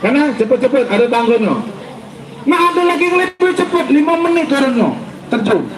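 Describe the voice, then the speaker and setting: High-voiced joking ustaz in echoey hall. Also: male voice, animated, fairly quick. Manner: joking, quizzes the audience. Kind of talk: humorous sermon to school students. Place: school event hall. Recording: echoey hall, background noise and traffic.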